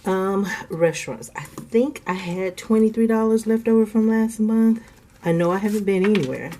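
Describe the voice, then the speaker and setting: A woman's voice: medium pitch, moderate pace, dark tone. A woman hosting a casual budgeting vlog from home, a bit congested from asthma, chatty and laughing often as she counts cash aloud. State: congested from asthma, clearing throat. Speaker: budgeting channel host.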